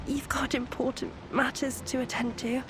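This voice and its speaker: An actress playing a gentle, anxious young woman in a video game, earnest and hesitant, with ambient noise behind her. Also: high-pitched, little pitch movement, moderate pace, close to an everyday voice. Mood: tender, resigned. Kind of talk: dramatic video game voice acting. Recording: clear background ambient noise.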